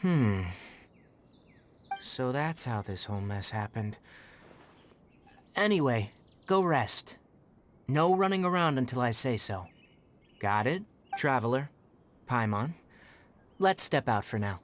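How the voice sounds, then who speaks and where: A man playing a calm, learned young forest watcher in an anime-style video game, measured and authoritative. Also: mid-range voice, a little hiss or hum. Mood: calm, firm. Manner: measured, precise, explanatory.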